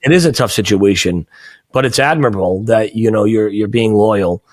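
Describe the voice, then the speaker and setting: A man's voice: on the low side, moderate pace. Joking American podcast host, man. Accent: New England. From United States. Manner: friendly, joking, self-deprecating. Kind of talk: casual interview chat.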